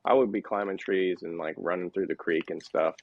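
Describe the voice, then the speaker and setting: A man's voice: deep voice, fairly even intonation, fairly quick, narrow, tinny audio. A man from the Midwest, a podcast guest, telling rambling, easygoing stories about his childhood in casual chat. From American Midwest.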